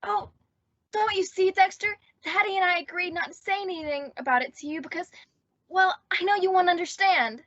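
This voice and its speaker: A woman's voice: very high voice, normal speed, a bit bright, no room sound, muffled recording. A woman playing a dramatic, lovestruck and earnest teenage girl in an old-time radio comedy. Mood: distraught, pleading.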